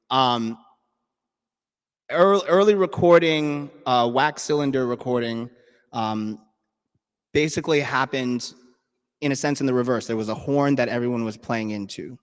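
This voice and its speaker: A man from New York City speaks at an even, middle pitch, leading his band's talk before a live crowd. He rambles in a friendly, playfully nerdy way, with filler words and restarts. His voice carries a long hall reverb. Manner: chatty and playfully nerdy, lots of ums and restarts. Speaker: bandleader. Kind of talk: casual talk to a live audience.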